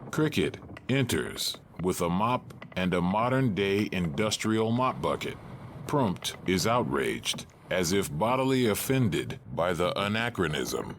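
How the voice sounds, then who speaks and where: A man's voice: low voice, sing-song, a little slow, a little hiss or hum. A man reading stage directions as scripted narration for an audio drama.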